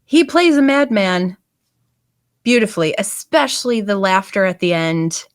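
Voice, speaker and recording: A woman who is a guest on a podcast, talking about a film in a gushing, excitable way. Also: medium pitch, no echo, clean studio sound. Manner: excitable, gushing, quick reactions. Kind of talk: casual movie discussion.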